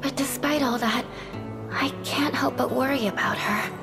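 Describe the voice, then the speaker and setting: Sweet, high-voiced young cleric. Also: female voice, normal speed, creaky, over music. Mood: fond, worried. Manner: sweet, courteous. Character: gentle, polite, caring young female cleric. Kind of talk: video game voice acting.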